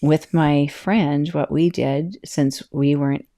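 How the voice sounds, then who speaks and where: A middle-aged woman and yoga teacher hosting her own podcast in a chatty, self-interrupting way, laughing often, with a calm voice that can turn loud. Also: low-pitched, slow. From California. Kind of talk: casual solo podcast talk.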